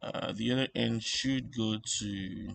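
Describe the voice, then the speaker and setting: A man giving an informal electronics tutorial, thinking aloud in a halting way and repeating phrases as he works things out. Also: fairly low pitch, vocal fry, a bit bright, a little hiss or hum. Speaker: instructor.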